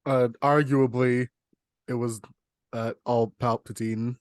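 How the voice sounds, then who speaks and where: A man co-hosting a podcast in casual conversation, with measured, wry and sometimes hesitant phrasing. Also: medium pitch, little pitch movement, a little mellow, dry recording, clean studio sound. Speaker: podcast co-host. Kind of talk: casual chat, discussing films.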